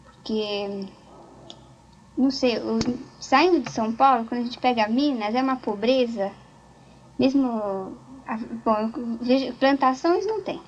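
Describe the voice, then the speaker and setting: A woman's voice: fairly high pitch, a little slow, slight background noise. A woman talking casually in a group interview, telling stories with many restarts. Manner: chatty storyteller, frequent restarts. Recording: dull lo-fi tape recording.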